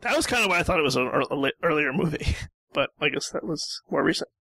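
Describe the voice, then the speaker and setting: A man's voice: on the high side, expressive, fast, gravelly, sharp tone, no room sound. A man chatting informally and a little ramblingly as a guest in a casual film discussion. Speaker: podcast guest panelist.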